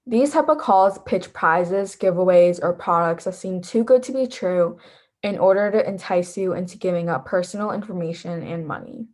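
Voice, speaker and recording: A teenage young woman presenting a scripted lesson as an instructor. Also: fairly low pitch. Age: teens. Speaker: volunteer instructor.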